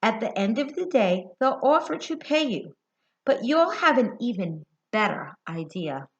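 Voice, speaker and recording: An American woman reading a picture book aloud to young children, expressive and deliberate, putting questions to her listeners. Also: fairly high pitch. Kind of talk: children's read-aloud storytelling. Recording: dull lo-fi sound.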